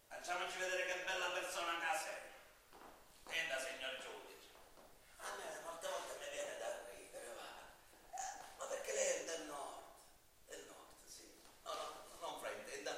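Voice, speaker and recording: A man's voice: a little high, bright, forceful tone. Echoey, piercing bitter male prisoner. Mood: sardonic, insistent. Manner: confrontational, sarcastic, rhetorical questioning. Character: bitter defiant vigilante prisoner pleading before a judge. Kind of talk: impassioned stage monologue. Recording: echoey noisy hall from far off.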